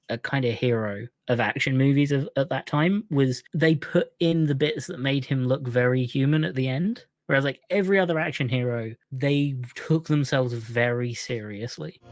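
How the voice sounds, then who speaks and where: A man's voice: mid-range voice, no echo, clean studio sound. A man co-hosting a podcast, casually explaining his opinions about films in a conversational way. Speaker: podcast co-host. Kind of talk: casual movie discussion.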